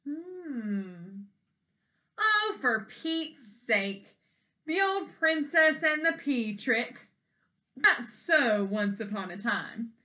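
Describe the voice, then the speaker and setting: Woman voicing a high, sassy young princess. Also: big pitch swings, extremely slow, pushed and bright, heavily acted. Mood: exasperated. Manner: blunt, exasperated, sarcastic.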